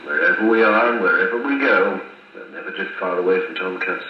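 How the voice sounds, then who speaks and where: Low-voiced actor as bashful officer in love. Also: male voice, fairly quick, very noisy, close to an everyday voice. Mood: fond. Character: wounded male intelligence officer in love, bashful, tender. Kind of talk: 1950s radio drama acting. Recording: muffled, very echoey 1950s wire recording.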